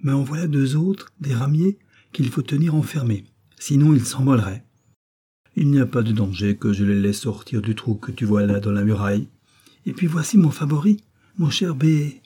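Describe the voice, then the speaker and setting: A man's voice: mid-range voice, normal speed, mellow, slightly rough, voice pushed up, close to an everyday voice. A man voicing a wild, cruel little robber girl who talks in a fierce, teasing, bossy way.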